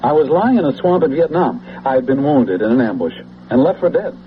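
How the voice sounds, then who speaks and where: A man's voice: medium pitch, very animated, fairly quick, natural voice. Male actor as zealous would-be leader, oratorical, persuasive, radio drama, lo-fi with faint noise. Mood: self-important. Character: zealous scheming political leader, Vietnam veteran, self-styled savior. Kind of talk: 1970s radio drama acting. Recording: muffled, noisy old radio broadcast.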